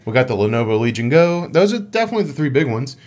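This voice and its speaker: A man's voice: mid-range voice, big pitch swings, quick pace, darkish tone. A man co-hosting a casual gaming podcast, with a playful, dryly teasing way of talking. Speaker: podcast co-host.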